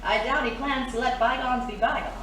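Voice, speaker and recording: A woman's voice: a little high, steady tone, a little fast, fairly bright tone, distant mic, close to an everyday voice. Cool female thief in an echoey room, woman acting. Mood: knowing. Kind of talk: pulp adventure audio drama acting. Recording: echoey, off-mic, faint noise.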